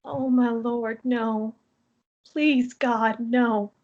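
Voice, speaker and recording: A woman's voice: fairly high pitch, steady tone. A woman playing an anguished, pleading mother in an emotional drama table read. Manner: slow, pleading. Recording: slightly dull lo-fi recording.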